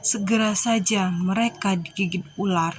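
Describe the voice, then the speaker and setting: A woman's voice: medium pitch, steady tone, moderate pace. A young woman narrating a scripted children's story as a storyteller. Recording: clearly noisy background.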